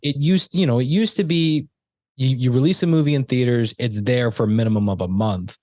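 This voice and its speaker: A man's voice: medium pitch, a little fast, darkish tone, no room sound, clean studio sound. A man co-hosting a casual movie review podcast, talking in a rambling, chatty way with lots of restarts. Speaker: podcast co-host.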